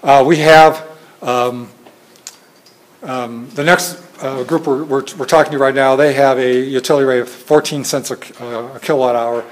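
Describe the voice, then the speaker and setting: A soft-spoken man giving an informal talk with slides to a training group, hesitant with many fillers and restarts, recorded on a phone in a meeting room. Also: mid-range voice, steady tone, moderate pace, low-quality recording. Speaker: presenter at a training session. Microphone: phone camera in the room.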